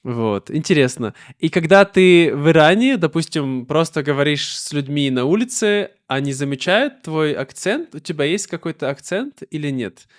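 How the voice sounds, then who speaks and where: A man from Russia hosting a slow conversational interview, phrasing his questions carefully and rewording them as he goes. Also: fairly high pitch, lively intonation. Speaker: interviewer, host.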